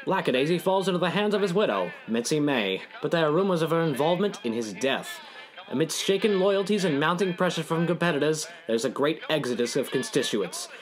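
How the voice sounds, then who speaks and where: A man delivering scripted dramatic narration as a storyteller. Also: mid-range voice. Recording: music behind the voice. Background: music.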